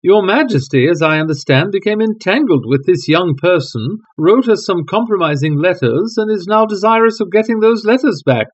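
A man's voice: sits high, expressive, normal speed, few pauses, pitched above the performer's own voice. A man voicing a languid, sardonic detective who speaks in a dry, murmured way. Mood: musing. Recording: dull lo-fi recording.

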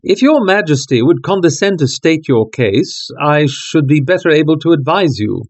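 A man's voice: on the high side, very animated, normal speed, darkish tone. A man voicing a languid, sardonic detective who speaks in a dry, murmured way. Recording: dull lo-fi recording.

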